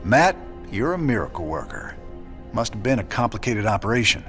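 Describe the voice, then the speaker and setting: An actor playing an easygoing, gruff rebel commander in a video game, gravelly and drily folksy. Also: male voice, lowish voice, sing-song, moderate pace. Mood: approving. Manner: folksy, dry, familiar. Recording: loud music behind the voice.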